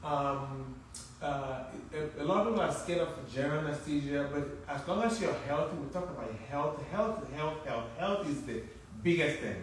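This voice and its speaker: A man's voice: on the high side, lively intonation, normal speed, a little hiss or hum. Nigerian-accented man in an echoey room. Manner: emphatic, repeats words while thinking. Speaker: plastic surgeon. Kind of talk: interview on a live stream. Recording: echoey room, mic at a distance.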